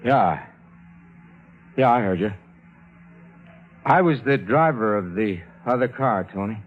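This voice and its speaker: An actor playing a troubled, skeptical friend in a 1950s radio drama, speaking and narrating with earnest, dramatic delivery. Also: male voice, on the low side, lively intonation, slow. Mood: hesitant probing. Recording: dull 1950s radio recording with music.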